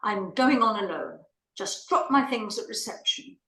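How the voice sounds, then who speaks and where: A woman's voice: medium pitch, expressive, moderate pace, fairly bright tone. A woman playing an imperious, irritable wealthy lady in a play reading, bossy and haughty as she gives orders and complains. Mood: imperious, fed up. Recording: roomy, echoing room.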